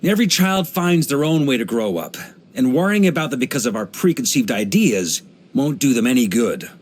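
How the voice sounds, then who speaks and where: An actor playing a hearty middle-aged knight grandmaster in a video game, an easygoing adventurer who talks in a wry, conversational way. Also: male voice, medium pitch, close to an everyday voice. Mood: admiring, easygoing. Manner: relaxed, wry, conversational, with chuckles and musing pauses. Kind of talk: video game character voice lines.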